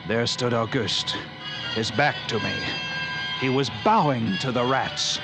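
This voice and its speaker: Male actor as a haunted lighthouse keeper narrating, slow and grim, vintage radio with heavy score. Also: mid-range voice. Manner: slow, hushed, dread-filled storytelling. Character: haunted lighthouse keeper telling his story. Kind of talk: 1940s radio acting. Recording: old radio recording with loud music.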